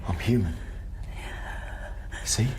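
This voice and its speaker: An actor playing a calm, world-weary survivor in an audio drama, laconic and low-key as he offers help. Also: takes it slowly, background noise, natural voice. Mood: cautious, calm.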